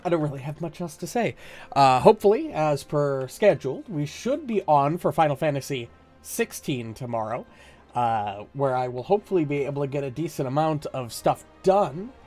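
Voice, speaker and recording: A streamer with a head cold talks casually, stumbling over fillers as he goes. His voice sits a little high for a man and sounds slightly stuffy. Soft game music plays behind him. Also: expressive, moderate pace. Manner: rambling, hesitant, many "uh"s. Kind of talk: casual live-stream chat.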